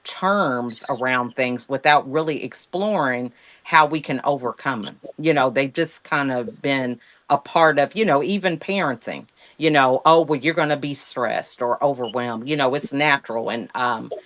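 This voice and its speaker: A woman's voice: rumbling low, normal speed, hardly any pauses. An American woman hosting an interview over a video call, affirming her guest and talking casually with lots of fillers. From United States. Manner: warmly affirming, frequent "you know" fillers. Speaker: interview host.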